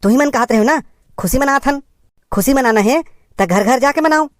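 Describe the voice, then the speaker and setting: A woman playing a concerned village uncle in a cartoon comedy, gently lecturing in a hesitant way with a Chhattisgarhi accent. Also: high voice, normal speed, no room sound, studio quality, close to an everyday voice. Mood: admonishing, earnest.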